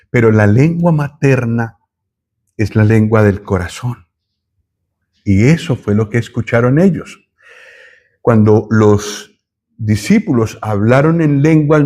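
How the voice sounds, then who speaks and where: Slow, unhurried teacher man. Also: medium pitch, a little mellow. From Colombia. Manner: slow, thoughtful, with pauses and self-corrections. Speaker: Bible teacher. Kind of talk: Bible teaching talk.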